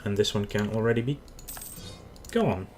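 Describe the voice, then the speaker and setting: A man with a Dutch accent, speaking in a somewhat low voice, commentates his own strategy game in a relaxed, unscripted way. He thinks aloud and restarts his sentences as he goes. Keyboard typing clicks away behind him. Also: moderate pace, a little hiss or hum. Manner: thinking aloud, self-correcting. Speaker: gaming channel host. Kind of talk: casual let's play commentary.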